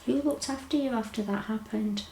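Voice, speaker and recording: Mellow woman interviewer in echoey room. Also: fairly high pitch, moderate pace, vocal fry, slight background noise. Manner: gentle, encouraging questions with short backchannels. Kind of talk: oral history interview.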